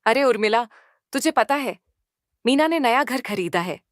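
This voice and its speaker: A woman's voice: a little high, animated, normal speed, dry recording, clean studio sound, lightly acted. A woman playing a curious, gossipy village neighbor in a children's moral story.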